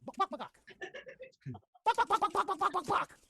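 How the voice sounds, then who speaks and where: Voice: very high-pitched, lively intonation, brisk, gravelly, bright, forceful tone, exaggerated voice. A puppeteer voicing a silly magic chicken in a puppet tale, clucking repetitively in bursts. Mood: silly, excited.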